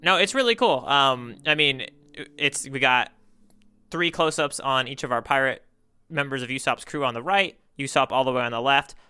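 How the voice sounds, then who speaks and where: A man's voice: mid-range voice, moderate pace, sharp tone, clean tone. A man co-hosting a podcast, chatting casually about manga in an earnest, playful way. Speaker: podcast co-host.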